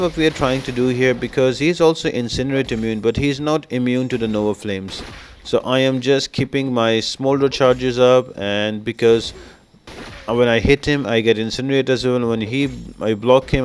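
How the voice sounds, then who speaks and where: A man's voice: mid-range voice, steady tone, normal speed, slight background noise. A man giving a casual game walkthrough, explaining tactics step by step to viewers. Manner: explanatory, addresses viewers as guys. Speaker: game guide host. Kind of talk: casual gameplay walkthrough commentary.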